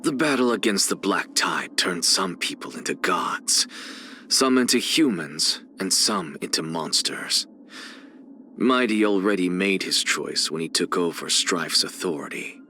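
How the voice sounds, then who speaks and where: An arrogant male scholar from a video game, lecturing with cool contempt. His voice sits fairly low yet has a crisp, forceful edge, and it swings theatrically in pitch as he takes his time over each phrase. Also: expressive, a little hiss or hum, full character voice. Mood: measured, reflective. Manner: haughty, deliberate, theatrical, lecturing.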